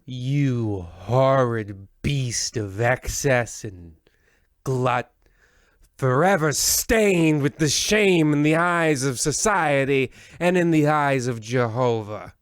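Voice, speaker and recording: A man acts out a pompous horror-anthology host for a comic radio play. He speaks slowly and theatrically with mock-sinister menace, in a close, dry recording. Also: medium pitch, no room sound, lightly acted. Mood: contemptuous. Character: creepy, pompous, insulting male horror-show host. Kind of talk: parody of old-time radio horror hosting.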